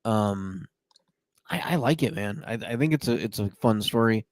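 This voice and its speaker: A man's voice: fairly low pitch, animated, moderate pace, no room sound, studio quality. A man co-hosting a casual podcast, chatty and playful, reading out listener comments. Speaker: podcast co-host.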